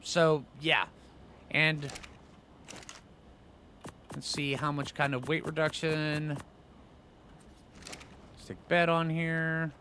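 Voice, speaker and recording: A man's voice: fairly high pitch, little pitch movement, drawn-out words, long pauses, sharp tone, a little hiss or hum. A man giving casual gameplay commentary, thinking aloud in informal, slangy phrases with rambling asides. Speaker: gaming channel host.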